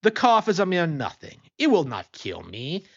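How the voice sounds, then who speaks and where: A man's voice: a little high, huge pitch swings, moderate pace, creaky, a bit bright, husky, lo-fi sound, voice pushed up, exaggerated voice. A man voicing a tipsy, proud wine connoisseur in a storybook reading, exclamatory and dismissive. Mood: stubborn, dismissive. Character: tipsy, proud, excitable male wine connoisseur in carnival motley.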